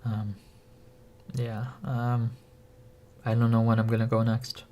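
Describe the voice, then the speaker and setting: A young man who grew up in Korea, chatting casually on a livestream, answering viewer questions slowly and with frequent pauses as he thinks aloud. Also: mid-range voice, monotone, darkish tone. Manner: slow, halting, thinking aloud with many pauses. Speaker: livestream host.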